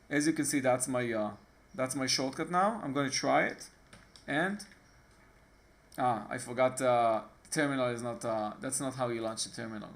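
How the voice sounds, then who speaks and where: An Israeli man with a medium-pitched voice hosts a casual screen-recording tutorial. He speaks in a relaxed, unscripted way and often stumbles into fillers and restarts. He sounds like he is recording at his own desktop computer. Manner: halting, frequent fillers and restarts. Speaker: tutorial host.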